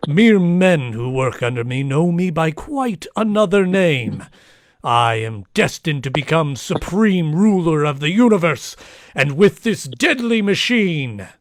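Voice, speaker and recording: A man acting a megalomaniac villain posing as a professor in an old-time radio play, speaking in a deliberate, grandiose, theatrical manner. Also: a little high, sing-song, no room sound, studio quality. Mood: gloating menace.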